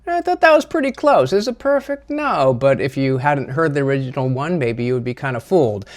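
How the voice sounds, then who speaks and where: A man presenting a software tutorial in a casual, chatty, slightly self-deprecating way. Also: medium pitch, very animated, a little fast.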